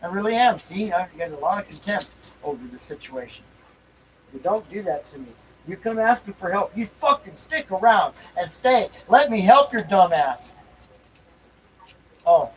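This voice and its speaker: A casual talker mutters and rants bluntly, sometimes swearing. The pitch moves around a lot, and the amateur recording carries noticeable noise and ambience. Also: lively intonation, moderate pace, low-quality recording. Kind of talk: casual rambling talk, ranting.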